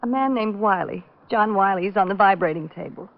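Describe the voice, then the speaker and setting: Woman doing panicky salon lady in old radio drama, quick and fretful, bit creaky, muffled sound. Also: medium pitch, close to an everyday voice. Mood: frightened. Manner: urgent, fretful. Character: frightened blonde salon owner, anxious and pleading. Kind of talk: 1940s-50s radio drama acting.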